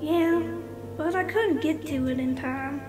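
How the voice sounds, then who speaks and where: A woman's voice: sits high, slow pace, a little mellow, soft background music. A woman voicing a young, sweet, confused country filly with a folksy, hesitant manner in a dramatic fan fiction reading. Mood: sheepish, sorry.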